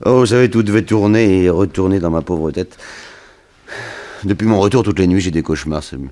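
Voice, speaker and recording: An actor playing a weary, plaintive son of a wealthy family in a 1960s radio detective drama. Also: male voice, deep voice, fairly quick, dark tone, lightly acted. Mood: sorrowful. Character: grieving son of a poisoned rich woman, weary, plaintive.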